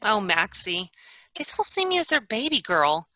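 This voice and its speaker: A woman playing a concerned, chatty young 1940s best friend, expressive and full of advice, in a play reading over a video call. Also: medium pitch, normal speed, dry recording. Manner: expressive, advising.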